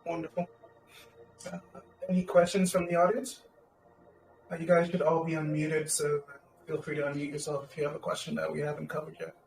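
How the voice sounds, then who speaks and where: A man's voice: on the high side, flat delivery, fairly quick, creaky, pauses often, darkish tone, soft background music, low-quality recording. A man hosting a live audio chat, upbeat and joking with the audience. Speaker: host.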